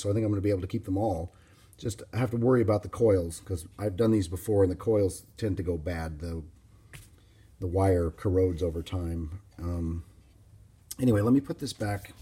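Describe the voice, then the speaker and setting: A man giving an informal, unscripted hands-on demonstration as a hobbyist, explaining things in a plain-spoken, halting way. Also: on the low side, warm tone, husky. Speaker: vintage radio hobbyist presenting a demonstration.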